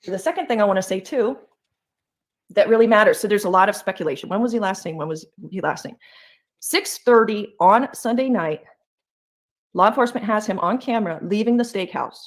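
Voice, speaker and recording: A woman's voice: medium pitch, fairly quick. A woman giving informal true crime commentary in an emphatic, conversational way, repeating her points for weight.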